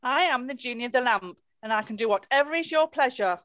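An obliging old genie of the lamp from an amateur pantomime, read by a woman. The voice is high and very animated, making grand offers of service. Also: fast, dry recording. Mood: eager to serve. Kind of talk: amateur pantomime script reading. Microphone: computer mic on video call.

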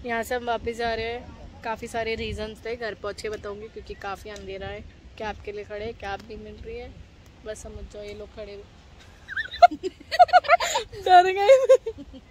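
A woman's voice: little pitch movement, a little fast. A woman, chatting casually and teasingly to her phone as she vlogs, with bursts of exclamation. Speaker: vlogger. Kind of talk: casual outdoor vlog chat with companions. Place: outdoors at a beach and open-air cafe. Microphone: phone at arm's length. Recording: noisy outdoor phone recording with ambient rumble.

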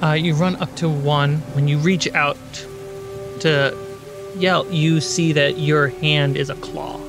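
A man narrating a tabletop fantasy game as game master, in a measured, suspenseful storytelling manner. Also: on the high side, fairly even intonation, over music.

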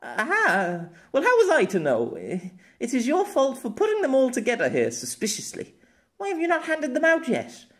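A man's voice: extremely high pitch, wildly swooping pitch, normal speed, fairly bright tone, voice pushed up, full character voice. A man voicing an imperious, theatrical young woman in an audiobook, speaking in a formal, pompous manner. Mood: embarrassed. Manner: formal, pompous, overly dramatic. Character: youthful silver-haired woman, imperious, theatrical, childishly gleeful. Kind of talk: amateur audiobook character acting.

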